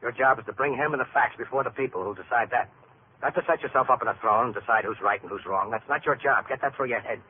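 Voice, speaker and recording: An actor playing a firm, principled police lieutenant, blunt and plain-spoken, in an old-time radio drama. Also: male voice, mid-range voice, fast talker, lightly acted. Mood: stern lecturing. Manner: blunt, direct. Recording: tinny, noisy vintage radio recording.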